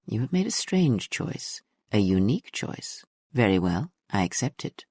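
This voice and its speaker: Solemn mysterious mentor, breathy low-key delivery. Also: male voice, a little slow, full character voice. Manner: calm, cryptic, solemn.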